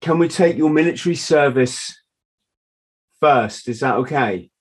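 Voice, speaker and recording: A man's voice: mid-range voice, unhurried, husky. A middle-aged man hosting a podcast over a video call from a home office, chatty and self-deprecating, laughing easily. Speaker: podcast host. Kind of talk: casual interview chat. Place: home office with a wall map. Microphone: video call webcam.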